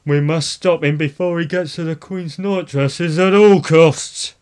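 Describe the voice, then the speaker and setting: A man's voice: on the high side, fairly even intonation, measured pace, darkish tone, clear, resonant tone, pitched above the performer's own voice, close to an everyday voice. A man voicing a flustered, anxious education minister in a storybook. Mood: flustered, urgent.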